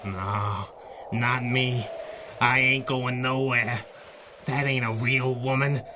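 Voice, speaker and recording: A man acting a dim-witted, superstitious, fearful gangster in a radio drama, gravelly and ungrammatical. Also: medium pitch, steady tone, background noise, natural voice. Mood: frightened. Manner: slow, ungrammatical slangy talk.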